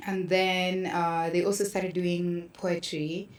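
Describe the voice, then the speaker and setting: Kenyan woman, casual storyteller in conversation, lower register, animated when quoting people. Roomy, slightly distant sound. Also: slow. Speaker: interview guest, theatre practitioner. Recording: roomy echo, distant from mic.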